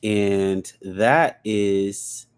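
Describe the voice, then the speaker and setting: A man's voice: fairly low pitch, very slow, smooth. A man chatting casually as a podcast guest, laid-back and slangy, laughing often. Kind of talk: casual chat.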